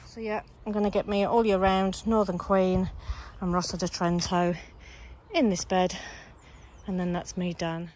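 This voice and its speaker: A middle-aged woman chatting casually while she works her vegetable plot outdoors, her voice a little croaky. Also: fairly low pitch, level tone, measured pace, a little mellow. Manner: chatty, down-to-earth, talks while working. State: slightly croaky. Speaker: allotment gardening vlogger. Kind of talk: casual chat to camera. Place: outdoors in allotment and back garden. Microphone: phone camera held while walking.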